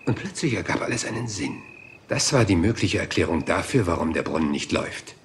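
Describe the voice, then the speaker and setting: An actor playing a dogged middle-aged police inspector in a radio crime drama, patient and musing, circling back to small details. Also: male voice, low-pitched, big pitch swings, a little fast, slight background noise. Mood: calm.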